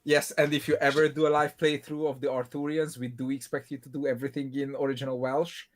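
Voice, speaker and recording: A man's voice: medium pitch, level tone, fast talker. A man joining a live stream as a guest game designer, teasing with dry humor and often repeating his first words. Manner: stammers with repeated starts, wry teasing humor. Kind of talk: casual chat on a live stream.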